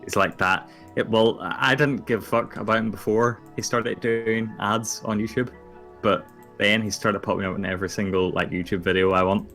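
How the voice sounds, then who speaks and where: A man's voice: lowish voice, fairly even intonation, normal speed, a bit bright, soft background music. A young man from Finland with a Finnish accent, co-hosting a casual chat, rambling and swearing between laughs. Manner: rambling, profane, laughs often. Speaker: podcast co-host.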